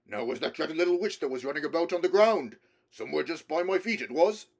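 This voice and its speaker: A booming storybook giant, played by a man, drowsy and puzzled. He is good-natured and bewildered, speaking out loudly with his pitch lifted. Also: lively intonation, fast talker. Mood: sleepy bewilderment. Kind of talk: storybook character voice.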